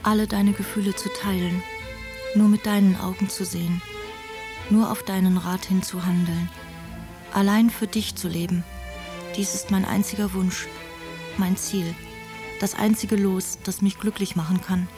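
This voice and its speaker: Devoted, lovelorn woman played by an actress, evenly pitched. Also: level tone, normal speed, pauses often, music in the background, lightly acted. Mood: devoted, yearning. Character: devoted, lovelorn woman declaring total surrender. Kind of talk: literary radio drama.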